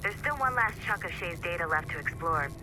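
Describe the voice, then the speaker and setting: Casual, needling coworker in a modern game scene, high voice, clear background noise. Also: male voice, expressive, brisk. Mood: breezy, smug. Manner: casual, teasing. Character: breezy, teasing office colleague, secretly Templar. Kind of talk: video game dialogue.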